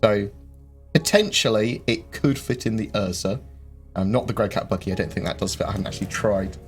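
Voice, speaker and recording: A fairly low-voiced man answers viewer questions on a live stream. He rambles, fills gaps with um, and throws in quick jokes. Faint game music plays underneath.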